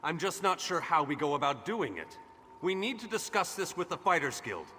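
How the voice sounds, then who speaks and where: A cheerful, courtly video game mage with a high, bright voice. He speaks effusively and theatrically. The voice rings in a long hall reverb. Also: sing-song, normal speed, slight background noise. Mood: resolute, reassuring.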